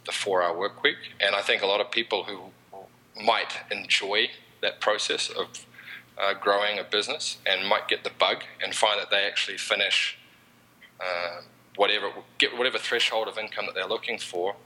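A man's voice: fairly low pitch, steady tone, moderate pace, pushed and bright, rough recording. A man with a New Zealand accent, a podcast guest talking in a rambling, easygoing way. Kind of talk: casual interview chat.

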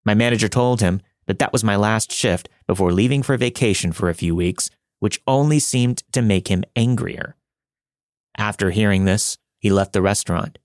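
A man with a fairly low voice works as a podcast host and narrator. He delivers scripted material in a storytelling style. The recording is dry, with very little room in it. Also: normal speed, dry recording. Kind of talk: scripted first-person story narration and host-read promo.

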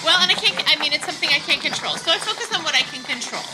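A woman's voice: sits high, lively intonation, rapid-fire, very noisy. A middle-aged woman, talking as a congressional candidate in a casual, wry, joking interview. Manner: dry, wry humor. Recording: music in background.

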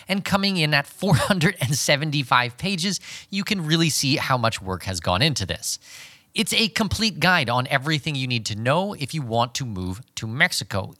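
A man speaking as a podcast host in a clear presenting style. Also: fairly high pitch, sing-song, moderate pace, sharp tone, dry recording, clean studio sound. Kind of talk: interview questions and scripted promotion.

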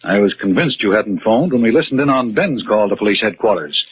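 An actor playing an earnest, level-headed suspect turned sleuth in a 1940s radio mystery, speaking in a measured, articulate way. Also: male voice, lowish voice, expressive, husky. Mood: grave, accusing. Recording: muffled, noisy 1940s radio recording.

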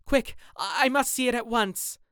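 A man puts on a young gentleman in a panic, voice climbing high and loud. His words tumble out in breathless urgency. The recording is smooth and dry. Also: moderate pace, dry recording. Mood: frantic.

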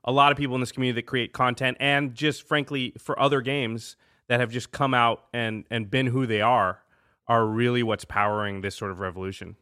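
A man's voice: medium pitch, fairly even intonation, brisk, sharp tone, clear, resonant tone, dry recording, studio quality. A man hosting a podcast in casual, conversational talk. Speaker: podcast host.

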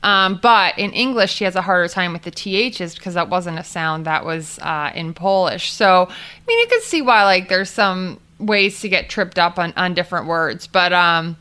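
A woman's voice: lowish voice, animated, normal speed, sharp tone, smooth. A woman, co-hosting a casual sports podcast and thinking aloud in a chatty way. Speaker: podcast co-host.

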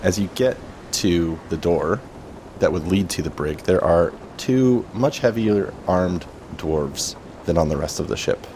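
A man improvising narration as game master, casual and halting as he sets out each scene. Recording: clear steady rumble behind voice.

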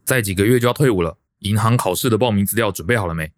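A man's voice: fairly low pitch, racing, no echo, studio quality, close to an everyday voice. An actor playing a stern, controlling middle-aged father with a Taiwanese accent, curt and commanding in a radio drama. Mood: stern, scolding.

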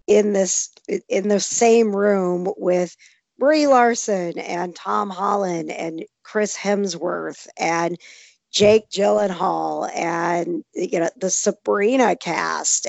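A woman with a hoarse, gravelly voice, still recovering from losing it, chatting casually with lots of laughs and restarts. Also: on the low side, darkish tone, no echo, dull audio. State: recovering from lost voice. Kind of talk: casual chat.

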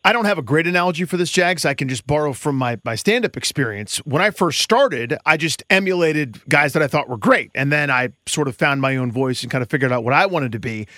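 Fast-talking man, dry studio sound. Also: a little high, lively intonation, bright, forceful tone, no room sound. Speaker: podcast co-host, interviewer. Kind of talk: casual interview chat.

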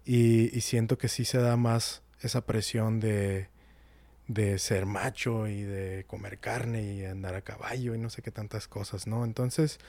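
A man with a light northern Mexican accent, speaking as a podcast guest. He is thoughtful and measured, often hedging his points. His voice is dark and mellow, recorded close and dry. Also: lowish voice, little pitch movement, gravelly, no echo. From Mexico, Monterrey area. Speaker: guest, nonprofit outreach manager. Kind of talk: casual interview chat.

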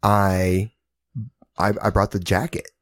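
A man's voice: sits low, flat delivery, slow pace, dry recording, studio quality. A man from Arkansas, laid-back in casual podcast chat, stammering a bit at the starts of his lines.

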